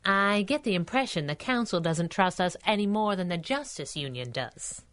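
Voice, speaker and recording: An actress playing a sassy, eager superhero sidekick in a 1930s-style radio drama, wisecracking in snappy period slang. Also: female voice, on the low side, animated, moderate pace, a bit bright. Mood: teasing.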